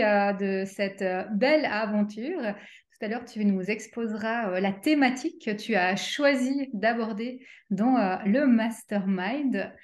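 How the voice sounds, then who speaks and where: A middle-aged woman hosting a casual interview, speaking with many fillers and restarts. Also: on the high side. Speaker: interview host and coach.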